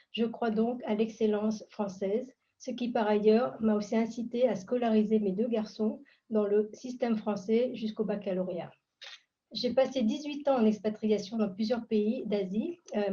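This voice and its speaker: A woman giving a measured, formal prepared introduction as moderator on a video call. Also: on the high side, warm tone. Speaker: candidate and event moderator. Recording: muffled lo-fi video call audio.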